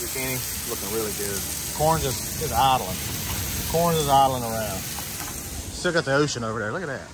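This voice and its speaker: A man's voice: medium pitch, expressive, normal speed, background noise. A man, chatting casually while cooking outdoors on a beach for his cooking channel, on a clip-on lapel mic. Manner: folksy, chatty, says "y'all" often.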